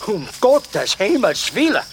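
Indignant, officious monk with swooping pitch. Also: male voice, sing-song, slow pace, a little hiss or hum, full character voice. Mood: indignant, scolding. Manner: scolding, stammering when startled, officious. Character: indignant, pompous, stern monastery brother guarding the cemetery. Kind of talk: German-language children's radio play acting.

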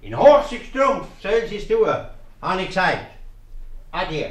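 A sharp-tongued, mocking tavern guest, with a very high voice and a Swiss accent. He is blunt, in an old radio comedy, a little distant in a roomy space. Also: sing-song, unhurried, slight background noise. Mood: indignant, blunt. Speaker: actor. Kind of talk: 1950s radio comedy acting.

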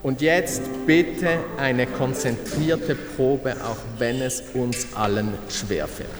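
An actor playing a shaken, formal orchestra manager making a halting, solemn speech to musicians in a radio drama. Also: male voice, medium pitch, lightly acted. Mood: businesslike, weary. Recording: echoey rehearsal hall with music behind.

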